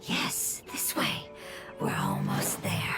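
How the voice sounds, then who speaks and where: A woman voicing a gentle, wistful, forgetful little plant spirit in an anime game, speaking softly and haltingly with a breathy tone. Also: whispered, over loud music, natural voice. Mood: wistful, earnest.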